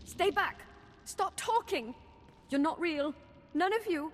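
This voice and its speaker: Very high-pitched, tormented young Sith woman. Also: animated, soft background music, exaggerated voice. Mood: panicked. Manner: fragmented, halting, desperate short phrases. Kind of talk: video game voice acting.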